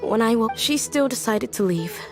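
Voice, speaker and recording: A fairly high female voice, gentle and wistful. The subdued, sincere woman speaks haltingly, like emotional game dialogue, with music underneath. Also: animated. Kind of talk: game voice acting.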